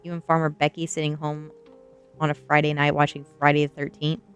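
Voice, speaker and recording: A woman's voice: low voice, completely flat delivery, fairly quick, clean tone, lo-fi sound, soft background music, pitched below the performer's own voice, lightly acted. A woman voicing a cocky rich teenage boy, a smug, mocking bully in an audiobook story. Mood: smug taunting. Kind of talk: audiobook character voice.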